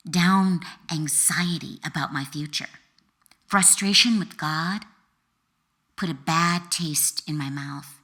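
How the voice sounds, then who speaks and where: Bright, slow-paced woman reading her memoir. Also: on the low side. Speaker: author reading own book. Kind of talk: expressive audiobook narration of a memoir.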